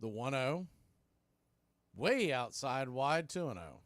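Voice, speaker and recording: A man's voice: medium pitch, big pitch swings, slow pace, fairly bright tone, dry recording. A man doing live baseball play-by-play in clipped phrases with conversational asides. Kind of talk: live sports commentary.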